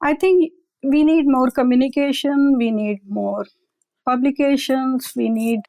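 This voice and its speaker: Researcher, female, raspy-edged higher voice, careful explanatory interview manner. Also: fairly even intonation, a little slow, warm tone, no room sound, poor audio. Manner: careful, explanatory, repeats "I will say". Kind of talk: conversational expert interview.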